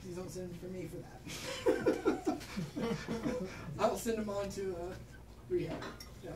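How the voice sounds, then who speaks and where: A woman doctor from Kansas leads an informal session for clinicians, checking in with "right?" and laughing at herself as she goes. She sounds set back from the microphone in a reverberant room. Steady background noise runs under her voice. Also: medium pitch, takes it slowly. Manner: frequent tag questions, laughs at herself. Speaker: pain management doctor. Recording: roomy echo, distant mic, noticeable background noise.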